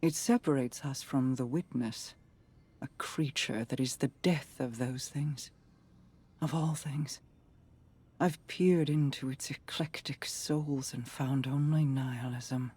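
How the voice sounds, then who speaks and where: A woman playing a regal, composed queen in a video game, speaking in a measured, formal, guarded and grave manner. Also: very deep voice, steady tone, dark tone, slightly rough, full character voice. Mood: grave, reflective. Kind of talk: scripted video game monologue.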